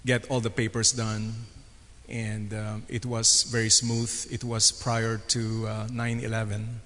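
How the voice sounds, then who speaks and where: Filipino man, pastor, halting testimony, monotone, chapel reverb. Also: fairly low pitch. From Philippines. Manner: halting, many fillers, flat delivery. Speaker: pastor and guest speaker. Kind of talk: personal testimony on a panel. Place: chapel on stage.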